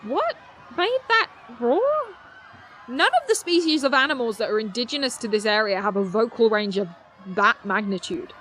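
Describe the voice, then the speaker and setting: A woman playing a brainy, excitable teenage girl who talks in formal, wordy bursts in an audio drama. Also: on the high side, normal speed, a little hiss or hum. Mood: anxious, analytical.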